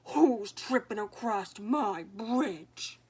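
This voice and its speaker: A woman's voice: mid-range voice, expressive, drawn-out words, a little mellow, slightly rough, exaggerated voice. A woman doing a roaring ugly troll. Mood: roaring menace. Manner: roaring, growling. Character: big ugly mean male troll under a bridge.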